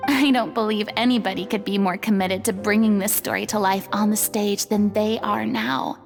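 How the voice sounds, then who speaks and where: An actress playing a dramatic, showy young former goddess in a video game, with a grand, theatrical delivery. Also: female voice, medium pitch, fairly even intonation, moderate pace, creaky, lightly acted. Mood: wistful. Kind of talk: video game cutscene voice acting. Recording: game dialogue over music.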